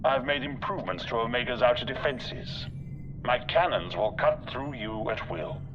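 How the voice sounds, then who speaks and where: A man playing an arrogant, calculating military general in a video game, speaking in a measured, formal, condescending way. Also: medium pitch, lo-fi sound, background noise, lightly acted. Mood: smug, composed. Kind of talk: dramatic video game acting.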